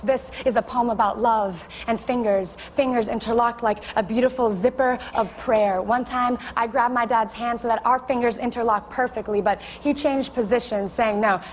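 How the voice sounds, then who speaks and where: A young woman performing a spoken word poem in a tender, measured storytelling cadence. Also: fairly high pitch. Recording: echoey hall with faint noise.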